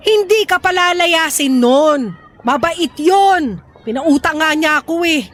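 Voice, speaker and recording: A woman's voice: high voice, animated, slow, fairly bright tone, slight background noise, heavily acted. An actress playing a stubborn, debt-ridden mother who scolds and coaxes her daughter in a radio-style drama. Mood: dismissive. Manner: scolding, then wheedling. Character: pushy indebted mother, gambler, stubborn and dismissive.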